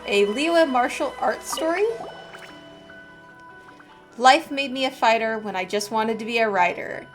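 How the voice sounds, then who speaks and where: A woman's voice: fairly high pitch, unhurried, faint music behind. A woman game streamer reading story text aloud and commenting in a casual, agreeable way during a live playthrough.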